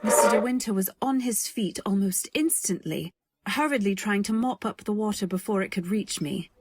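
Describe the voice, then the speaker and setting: A woman's voice: medium pitch, normal speed, very noisy, natural voice. A woman playing a modest, earnest young companion, narrating and speaking politely and wistfully in a radio drama. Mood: wistful narration. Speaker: narrator and heroine. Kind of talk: first-person narration in old-time radio drama.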